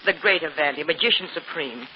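An actress playing a fretful middle-aged wife and washed-up vaudeville singer in a 1930s radio drama, speaking plain and colloquial. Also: female voice, deep voice, a little fast, close to an everyday voice. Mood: bitter, resigned. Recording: muffled, hissy 1930s radio recording.

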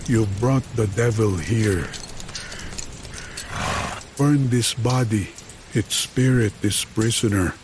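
An actor playing a grave, accusing watcher in a horror western audio drama, speaking solemnly and deliberately. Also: male voice, fairly low pitch, background noise. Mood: grave warning.

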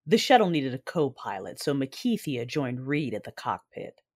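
Husky, brooding Sith warrior. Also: female voice, extremely low pitch, very animated, moderate pace, a little mellow, full character voice. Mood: brooding, wry. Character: brooding Sith warrior woman, intense, coolly confident sister. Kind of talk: first-person dramatic narration in audio drama. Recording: music under the voice.

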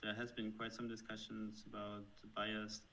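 A man's voice: lowish voice, monotone, a little slow, bright, forceful tone, slight background noise. A man in his thirties with a German accent, answering interview questions thoughtfully, with frequent fillers and restarts. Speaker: software developer guest.

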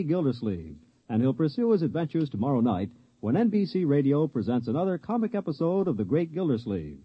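A man reading a network promo in a smooth 1950s radio announcer style. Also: mid-range voice, dry recording. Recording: dry, dull vintage radio recording.

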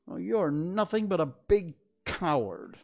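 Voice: mid-range voice, big pitch swings, slow. Dorothy, a scolding spirited girl read aloud. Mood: indignant. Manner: indignant, scolding. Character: spirited young girl, brave and indignant. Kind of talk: storybook reading.

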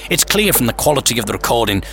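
A man hosting a podcast, narrating a scripted story with theatrical suspense, pauses and wry humour. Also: medium pitch, very animated, fairly bright tone. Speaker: podcast host. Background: music.

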